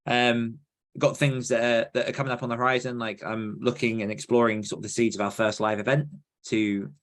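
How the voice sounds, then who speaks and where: A man's voice: medium pitch, flat delivery, a little fast. A man hosting a podcast in casual conversation, earnest and reflective as he thinks his points through.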